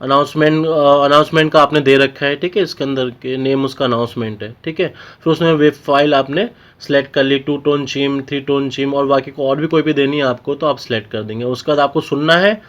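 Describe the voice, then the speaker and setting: A man's voice: medium pitch, steady tone, fairly quick, fairly bright tone. A man with an Indian accent giving an informal technical tutorial, explaining step by step and often checking in with short tags. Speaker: technical instructor.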